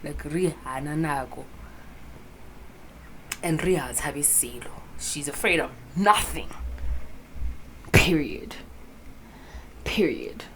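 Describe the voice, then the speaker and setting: A woman's voice: sits low, takes it slowly, long pauses, a little hiss or hum, low-quality recording. A South African woman in her early twenties chatting casually to camera, rambling and swearing freely, mixing in local words, recorded on a phone with a small mic. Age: 20s. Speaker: vlogger. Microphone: phone camera with a clip-on mic.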